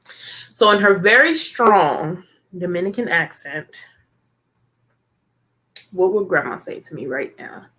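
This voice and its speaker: Bright-voiced woman hosting a talk show. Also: fairly low pitch, animated, slow, long pauses, poor audio. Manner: chatty, with many fillers and tag questions. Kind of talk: casual chat.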